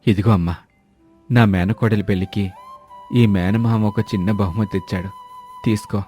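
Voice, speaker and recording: A man's voice: on the low side, fairly even intonation, moderate pace, mellow, soft background music, lightly acted. A man voicing a humble, worried uncle of modest means, speaking politely and in a subdued manner. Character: humble, worried poor uncle, proud and kindly.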